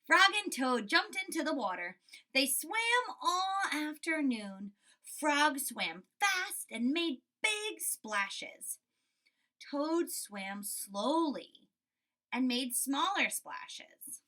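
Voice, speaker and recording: Bright, very lively woman teacher. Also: sits high, extremely slow. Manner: expressive, checking in with "right?". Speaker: school teacher. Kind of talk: children's read-aloud with lesson commentary.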